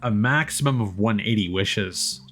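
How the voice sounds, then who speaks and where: Male game streamer, lower register, casual and rambling, thinking out loud over soft background music. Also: normal speed. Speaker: livestreamer playing a game. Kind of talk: casual gaming stream chat.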